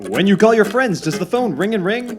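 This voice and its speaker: Old-time radio announcer played by a man: bright-spirited salesman, highly varied intonation, theatrical, over music. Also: expressive, a little fast. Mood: upbeat, theatrical.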